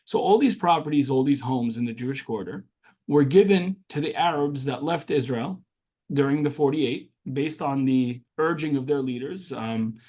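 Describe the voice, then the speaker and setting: A man's voice: mid-range voice, a little mellow. Earnest Syrian-born man telling his story. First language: Arabic. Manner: earnest, with frequent restarts and fillers. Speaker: guest. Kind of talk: interview storytelling.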